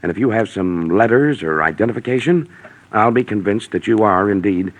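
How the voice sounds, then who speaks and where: An American actor playing a stern, suspicious middle-aged gentleman with a blustery, accusing temper in 1940s radio mystery style. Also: lowish voice, fairly quick, close to an everyday voice, low-quality recording. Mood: urgent, persuasive.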